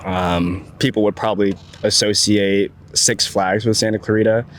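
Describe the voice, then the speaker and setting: A man's voice: lowish voice, level tone, normal speed, a little hiss or hum. Laid-back young man, slangy college talk. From Philippines. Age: young adult. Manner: laid-back, slangy, says dude and bro a lot. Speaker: guest. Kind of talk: casual chat.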